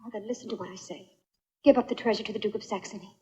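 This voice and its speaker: An actress playing a devoted young princess in a dubbed historical adventure film, speaking earnestly and pleadingly. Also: female voice, mid-range voice, racing, a little mellow, a little hiss or hum, natural voice. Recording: dull dubbed film soundtrack, brassy music behind.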